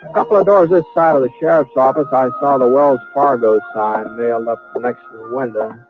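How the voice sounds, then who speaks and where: An American actor playing a mild-mannered, thoughtful cowboy in an old-time radio western, speaking in a halting, folksy way. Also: male voice, medium pitch, steady tone, soft background music, lightly acted. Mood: reflective. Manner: hesitant, halting, folksy drawl. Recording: dry, muffled old radio recording.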